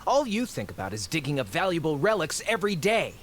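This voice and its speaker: A man's voice: on the high side, big pitch swings, fast talker, a little hiss or hum. An actor playing a shifty, greedy archaeology researcher in a video game, wheedling and theatrical as he justifies himself. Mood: defensive. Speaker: game character. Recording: game music under the voice.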